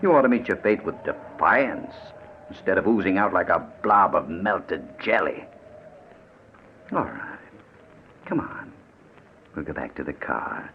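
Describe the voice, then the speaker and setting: Sadistic radio-play murderer, low voice, swooping mock-polite delivery, silky taunts, muffled vintage recording. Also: male voice, very animated, a little slow, pauses often, a little hiss or hum, full character voice. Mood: taunting sympathy. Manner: mock-solicitous, silky, toying. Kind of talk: old-time radio thriller acting.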